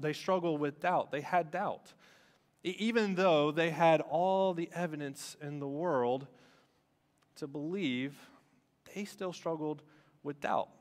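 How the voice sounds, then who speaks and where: A man in his thirties giving a relaxed, self-deprecating sermon from a church stage, with lots of filler words. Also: on the high side. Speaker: student minister. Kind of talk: casual sermon. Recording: long reverb of a church hall.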